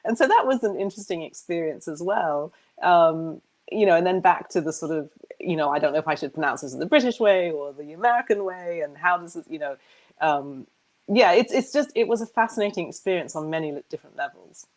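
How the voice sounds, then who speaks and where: Lady with a lowish voice asking questions on a video call, earbuds, chatty and curious. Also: expressive, a little fast, rough recording. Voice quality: low voice. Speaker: interviewer. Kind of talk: casual video-call conversation. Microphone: wired earbuds.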